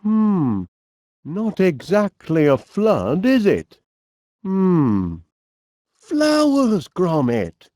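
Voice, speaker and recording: An actor playing a cheery, absent-minded middle-aged inventor with a Northern English accent, bumbling and chatty in an animated comedy game. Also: male voice, high voice, extremely slow, dry recording, clean studio sound, heavily acted. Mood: genial musing. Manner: bumbling, chatty, hesitant, mild exclamations.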